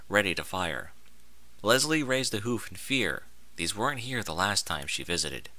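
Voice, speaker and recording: A man's voice: fairly low pitch, sharp tone, dry recording. A man narrating a scripted story in a theatrical, dramatic manner.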